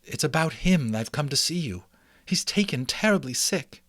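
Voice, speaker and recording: A worried mother mouse from a storybook, played by a man. The voice is raised in pitch and moves up and down freely. She speaks politely, with an earnest, pleading manner. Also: expressive, normal speed, a bit bright, dry recording, studio quality. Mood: anxious. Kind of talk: dramatic storybook character reading.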